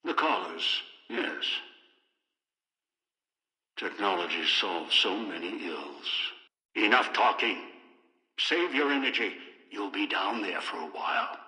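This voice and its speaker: Echoing, harsh-edged paranoid old villain. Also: male voice, lowish voice, expressive, bright, forceful tone, heavily acted. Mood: cold, dismissive. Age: older. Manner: deliberate, halting, contemptuous delivery. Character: cold, paranoid, scheming old man, menacing and arrogant. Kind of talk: dramatic video game voice acting.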